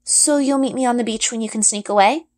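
A woman's voice: on the high side, fairly quick, darkish tone, voice pushed up, close to an everyday voice. A woman voicing an eager, confident young girl adventurer in a storybook, with casual youthful phrasing. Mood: brisk.

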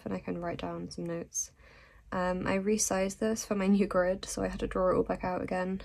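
Hoarse, soft-spoken sick young woman. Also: medium pitch. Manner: soft, unhurried and rambling with little laughs. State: sick and losing her voice. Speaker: vlogger. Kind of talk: casual voice-over journaling narration.

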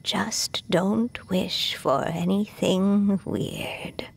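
An actress playing a fond, aging woman storyteller in a video game, reading a farewell letter in a gentle, reflective way. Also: medium pitch, steady tone, vocal fry, in a whisper. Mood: tender farewell. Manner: slow, reflective, tender.

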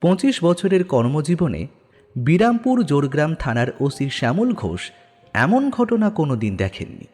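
A man's voice: on the high side, very animated, moderate pace, a little mellow, husky, faint music behind. A man giving a dramatic scripted narration of a horror story.

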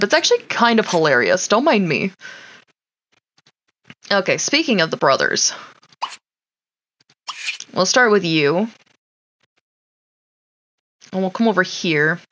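Woman streamer talking to her sims, slow and lively, a bit wry, faint hiss behind. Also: mid-range voice, dull audio. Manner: wry, chatty, talks to her characters. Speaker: gaming streamer. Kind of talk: casual gameplay commentary.

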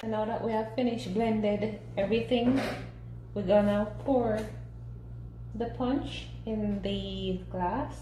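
A woman talks through a recipe in a casual, instructional way, at a slow, easy pace. Her mid-pitched voice is picked up in an echoey home kitchen. She explains each step simply. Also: steady tone. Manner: unhurried, simple instructional talk. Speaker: home cooking vlogger. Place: small home kitchen, indoors. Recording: roomy echo, clear background noise.

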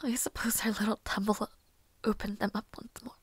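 A woman playing a fragile, devoted young maid in an intimate audio roleplay, speaking in a breathy, hesitant, tender whisper. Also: mid-range voice, level tone, brisk, dry recording, lightly acted. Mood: weary, reassuring.

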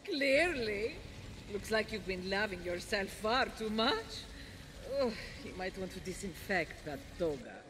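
An actress playing a vain, haughty, flirtatious love goddess in a game, theatrical and arch. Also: female voice, medium pitch, big pitch swings, measured pace, background noise. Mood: smug, arch. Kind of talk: game character acting.

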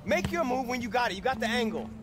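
A man's voice: very high voice, animated, fast talker, background noise. An actor playing an impatient man in a video game, barking basketball instructions. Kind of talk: video game cutscene acting.

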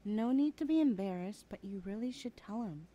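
A woman's voice: mid-range voice, animated, moderate pace, muffled recording, natural voice. A woman playing a chatty, teasing young adult best friend in a casual, hesitant ASMR roleplay. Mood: coaxing, teasing. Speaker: voice actor in audio roleplay. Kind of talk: ASMR roleplay, chatty one-sided conversation.

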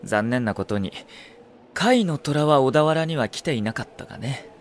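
An actor playing a calm, refined military strategist in an anime drama CD, polite and composed with a faintly mocking manner. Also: male voice, medium pitch, normal speed, slight background noise, lightly acted. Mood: composed, smug. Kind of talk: anime game drama CD acting.

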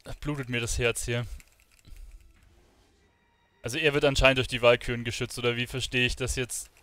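A man's voice: medium pitch, flat delivery, brisk, bright, forceful tone, no echo, soft background music. A man giving casual, colloquial gameplay commentary, puzzling aloud as he plays. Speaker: gaming video host.

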